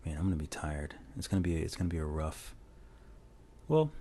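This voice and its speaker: A man narrating a drawing tutorial as a casual voice-over, talking in a relaxed, rambling way with many restarts, recorded on a lavalier mic plugged into a phone. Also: fairly quick, husky. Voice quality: low voice.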